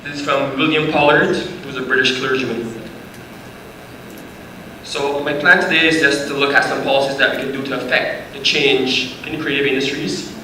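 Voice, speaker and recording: A man's voice: mid-range voice, little pitch movement, normal speed, pushed and bright. A Barbados-born man giving a polite, conversational conference talk as guest speaker, lightly self-deprecating. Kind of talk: conference presentation. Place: conference hall. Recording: echoey hall, distant mic, noisy.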